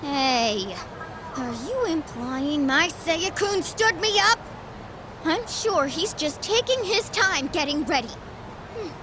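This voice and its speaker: A woman's voice: very high-pitched, expressive, slow, noisy background, heavily acted. A woman playing a lovestruck, touchy young woman in denial, in a video game dub. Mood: wistful, defensive.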